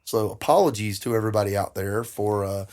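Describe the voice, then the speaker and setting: A middle-aged man co-hosting a casual comedy podcast, hoarse and gravelly from a cold, joking in a self-deprecating, mock-dramatic way. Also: on the low side, fairly quick, darkish tone. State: hoarse, getting over a cold. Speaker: podcast co-host.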